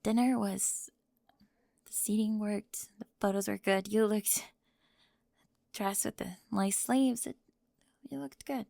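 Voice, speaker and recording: A woman playing a high-strung, controlling teenage cheerleader, tearful and defensive, in an ASMR audio roleplay, flustered and emphatic with sighs and whispers. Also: steady tone, takes it slowly, gravelly, long pauses, dark tone, no echo, studio quality. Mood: upset, confessing.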